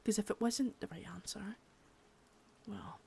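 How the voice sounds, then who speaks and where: A woman voicing a sadistic, menacing female boss in an ASMR roleplay, whispering softly with spoken threats between. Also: mid-range voice, unhurried, creaky, husky, exaggerated voice. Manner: taunting and threatening.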